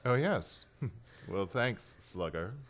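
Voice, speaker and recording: An actor playing a smug, menacing crook with mocking charm in a suspense radio play. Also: male voice, lowish voice, expressive, takes it slowly, a bit bright, exaggerated voice. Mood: mocking, breezy. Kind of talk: suspense radio drama acting.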